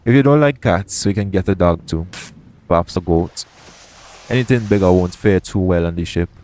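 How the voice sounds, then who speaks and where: Man playing a low-voiced, roguish pirate captain. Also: moderate pace, a little hiss or hum, close to an everyday voice. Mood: teasing, playful. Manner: soothing, gently teasing. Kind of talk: intimate audio roleplay acting.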